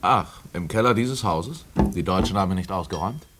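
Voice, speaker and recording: Low-voiced, dryly inquisitive gentleman. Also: expressive, moderate pace, heavy background noise. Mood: dry, probing. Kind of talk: German radio drama acting.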